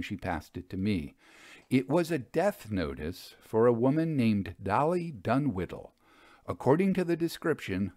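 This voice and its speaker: A man's voice: lowish voice, darkish tone, no room sound, studio quality. A man reading a scripted story as its narrator.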